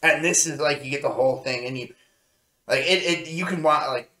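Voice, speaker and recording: A man co-hosting a review show in casual chat, with a halting, rambling way of telling things. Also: a little high, sharp tone. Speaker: co-host of an anime review show. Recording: roomy, echoey sound.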